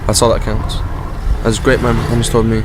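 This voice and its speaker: A man's voice: on the low side, completely flat delivery, brisk, close to an everyday voice. A man playing an earnest, loyal, emotional companion in an audio drama, speaking plainly. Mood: tender, sad. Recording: clearly noisy, traffic-like background.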